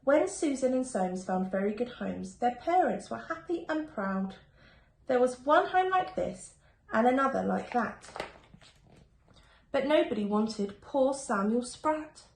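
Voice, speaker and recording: Woman, children's story reading, rhyming sing-song cadence, slightly high voice, echoey room, faint noise. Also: animated, a little slow, creaky, darkish tone. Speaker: primary school teacher reading aloud. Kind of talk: expressive children's picture-book reading.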